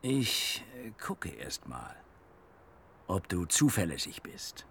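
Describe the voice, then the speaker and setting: Slow, terse, menacing man. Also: sits low, sing-song, vocal fry, fairly bright tone, slightly rough, full character voice. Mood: cool, commanding. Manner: terse, commanding. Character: menacing, terse man of authority, coolly controlled. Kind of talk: German radio crime drama acting.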